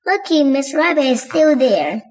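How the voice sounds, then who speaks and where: High-pitched woman reading to children, unhurried. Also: very animated, a little mellow. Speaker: storybook reader and host. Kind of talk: children's read-aloud narration. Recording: clear background noise.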